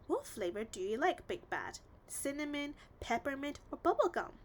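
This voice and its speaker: A woman reads as a reassuring female dental assistant in a children's storybook. She explains things playfully in a high, very lively voice with faint noise behind it. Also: normal speed. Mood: encouraging.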